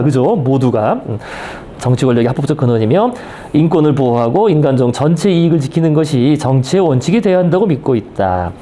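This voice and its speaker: Mellow higher-pitched man leading a book reading. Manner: checks in with listeners using short tag questions. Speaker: reading group leader. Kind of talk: reading a book aloud and discussing it with a group.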